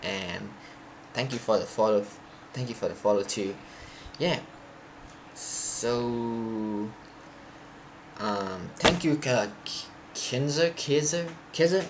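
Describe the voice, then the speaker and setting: A young man, a native Thai speaker, chatting loosely to his live stream viewers, often halting and restarting as he searches for words. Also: medium pitch, noisy background. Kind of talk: casual stream chat to viewers.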